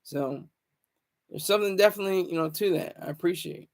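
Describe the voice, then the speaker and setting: A man's voice: high voice, a little fast. A man hosting a casual livestream, talking to viewers in a rambling way and repeating phrases for emphasis. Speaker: livestream host.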